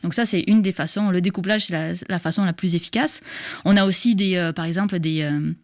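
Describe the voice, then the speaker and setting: A woman's voice: lowish voice, brisk, dry recording. Woman in her 30s, southern french accent mixed with quebec, explains science, lots of restarts and ums, dry sound. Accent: southern French mixed with Québécois. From southern France. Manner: hesitant, many restarts and fillers. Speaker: guest, science popularizer. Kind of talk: explanatory interview talk.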